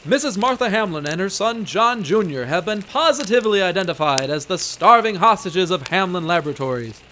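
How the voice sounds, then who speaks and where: A man's voice: sits high, animated, brisk, bright, forceful tone, slight background noise. A man narrating and reading bulletins as an announcer in an old-time 1920s radio style.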